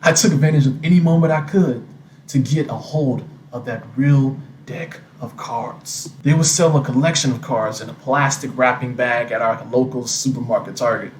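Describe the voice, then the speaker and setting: A man's voice: medium pitch, moderate pace. A man telling a scripted personal story as narrator, recorded in an echoey room with background noise. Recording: roomy echo, noisy background.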